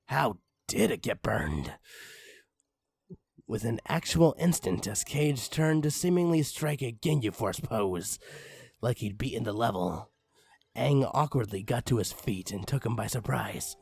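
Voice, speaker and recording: A man narrating a comic horror story in a theatrical, mock-ominous manner with drawn-out phrases and a raspy voice. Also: mid-range voice, expressive, darkish tone, no room sound. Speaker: creepypasta reader.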